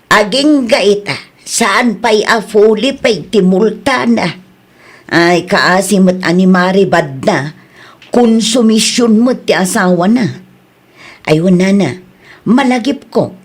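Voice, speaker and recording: A Filipino woman playing a fretful middle-aged mother-in-law in an Ilocano radio drama, nagging and exclaiming as she worries over her family. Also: medium pitch.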